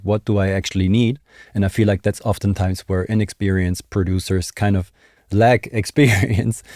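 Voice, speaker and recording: A man's voice: on the low side, a little mellow, dry recording, studio quality. A man in his thirties giving a casual, conversational music production tutorial, explaining as he thinks aloud. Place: small indoor home studio. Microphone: desk microphone close up.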